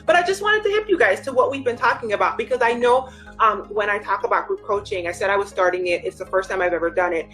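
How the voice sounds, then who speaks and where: A woman with a medium-pitched voice talks casually to her followers in the role of a life coach. She is chatty and informal, explaining things directly to the listener. Music plays behind her voice. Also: lively intonation, fast, fairly bright tone.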